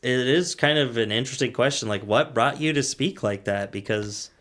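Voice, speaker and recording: A man's voice: medium pitch, normal speed, a bit bright. A man co-hosting a podcast in casual comedic banter, joking and often laughing through his words. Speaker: podcast co-host.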